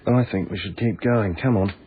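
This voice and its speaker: An actor playing a blunt Australian tunnel night worker in a radio drama, griping in colloquial speech with a husky voice. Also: male voice, fairly low pitch, moderate pace, a little hiss or hum, natural voice. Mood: wary. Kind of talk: naturalistic radio drama acting. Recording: narrow, lo-fi phone-like radio recording.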